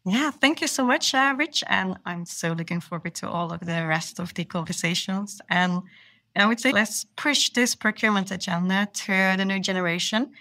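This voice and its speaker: A woman's voice: medium pitch, moderate pace, bright, forceful tone, no echo. A woman from Belgium, a procurement manager, answering interview questions with enthusiasm and earnest small restarts.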